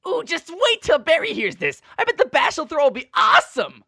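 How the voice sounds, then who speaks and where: A woman's voice: sits high, huge pitch swings, fairly quick, pushed and bright, no room sound, clean studio sound, full character voice. Woman playing a brash, swooping, cocky young stallion. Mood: excited, teasing. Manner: boisterous, slangy, teasing. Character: brash, teasing, cocky young male pegasus pony. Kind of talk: fan fiction audio drama.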